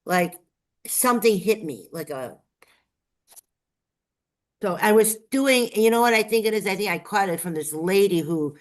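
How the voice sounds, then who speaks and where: A woman's voice: mid-range voice, animated, normal speed, pauses often. Chatty congested woman on a livestream. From Las Vegas, Nevada. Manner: chatty and enthusiastic. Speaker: guest. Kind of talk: casual live-stream chat.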